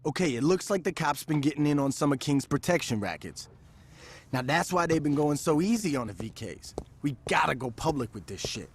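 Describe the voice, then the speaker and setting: An actor playing a shrewd, impatient young street gang lieutenant in a video game, slangy and confident, with an American accent. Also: male voice, on the high side, expressive, fairly quick, a little hiss or hum.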